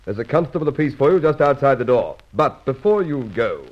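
A man playing a brisk, dryly commanding police inspector in an old radio crime drama, hearty and authoritative. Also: medium pitch, sing-song. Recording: dull, muffled old radio recording.

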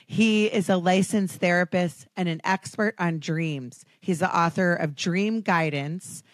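A chatty American woman hosts her podcast with a hoarse, raspy voice that sits quite low. She talks casually and pokes fun at herself, filling gaps with ums. The sound is dry and close. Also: unhurried, no room sound. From Washington, D.C. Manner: chatty, self-deprecating, frequent ums. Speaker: podcast host. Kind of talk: casual chat.

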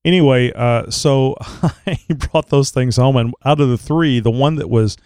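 A man hosting a casual online show talks in a loose, rambling way, stopping often on filler words. His voice is mellow and smooth, though a little crackly as he gets over a cold. The sound is dry and close. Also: mid-range voice, moderate pace, no room sound. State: recovering from a cold, slight crackle. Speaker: podcast host. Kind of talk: casual chat.

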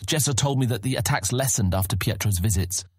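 A man's voice: mid-range voice, fairly quick, slightly rough, no echo, studio quality, close to an everyday voice. A man playing an earnest, respectful young thief in a fantasy audiobook drama. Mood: curious, insistent. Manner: earnest, inquisitive, polite.

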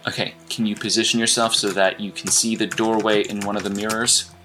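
An actor playing an earnest, apologetic strategist in an audio drama, speaking in a halting, analytical way. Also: male voice, fairly low pitch, flat delivery, over music, close to an everyday voice. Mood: urgent, focused. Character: earnest, apologetic male strategist guiding teammate remotely. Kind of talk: naturalistic audio drama dialogue.

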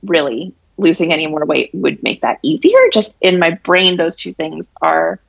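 A woman in her late twenties with a raspy, allergy-hoarse voice, talking frankly and reflectively as an interview guest. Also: low voice, expressive, moderate pace, no room sound. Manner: frank, reflective, many fillers and laughs. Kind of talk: candid casual conversation.

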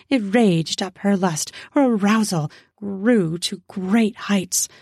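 A woman narrates erotic fiction in a lowish, husky voice. She takes her time, lingering on words and stressing them. The sound is close and dry. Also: darkish tone, no echo. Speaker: narrator of an erotic audio story. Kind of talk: erotic story narration with acted dialogue.

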